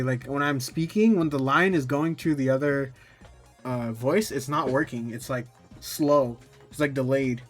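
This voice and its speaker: A man talking informally and a bit ramblingly through a casual gameplay tutorial, often poking fun at himself. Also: mid-range voice. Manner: informal, rambling, self-deprecating. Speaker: tutorial video maker.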